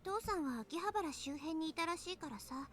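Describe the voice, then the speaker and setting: A woman voicing a lively, earnest teenage girl in a Japanese anime visual novel. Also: very high-pitched, fast. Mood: bright, eager. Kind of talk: Japanese anime game voice acting.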